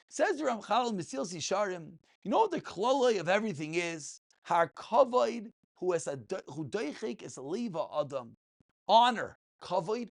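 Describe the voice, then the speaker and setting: Animated rabbi giving a lesson. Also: male voice, high voice, creaky. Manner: animated, emphatic, rhetorical questions, repeats phrases for emphasis. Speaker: rabbi teaching a religious class. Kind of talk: informal Talmud and ethics lecture.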